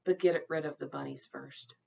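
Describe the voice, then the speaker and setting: A woman's voice: sits low, steady tone, moderate pace, vocal fry, darkish tone, muffled recording, exaggerated voice. A woman reading the part of a bossy, self-serious girl in a storybook, with commanding, clipped delivery. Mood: self-important.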